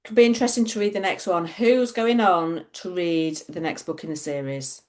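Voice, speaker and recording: A woman's voice: fairly low pitch, normal speed, a little mellow. A woman in a book club asking questions in an inquisitive way on a video call. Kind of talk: casual group chat.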